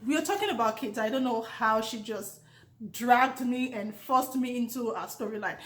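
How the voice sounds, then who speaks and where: A woman's voice: fairly high pitch, moderate pace. A woman with a Nigerian accent, co-hosting a casual chat, talking with hesitations. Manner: chatty, with hesitations. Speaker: co-host. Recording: echoey room.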